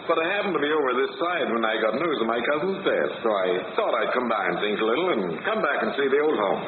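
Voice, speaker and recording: A man's voice: medium pitch, very animated, fast, close to an everyday voice. An actor playing a friendly, curious small-town man back home after years away, in a casual 1940s radio drama style. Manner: casual, inquisitive. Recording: echoey, noisy, tinny old radio.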